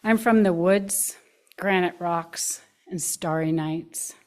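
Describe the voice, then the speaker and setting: A woman reading her own personal poem aloud at a church pulpit in a calm, even way. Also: fairly low pitch, takes it slowly. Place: church sanctuary.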